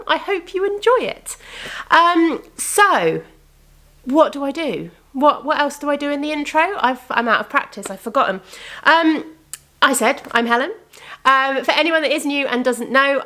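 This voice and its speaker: Woman podcast host, slightly congested, rambling and friendly, fairly high-pitched. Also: bright, forceful tone. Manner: chatty and rambling, with frequent self-corrections. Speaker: podcast host and indie yarn dyer. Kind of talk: casual chat to camera. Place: room with bookcases of yarn behind her.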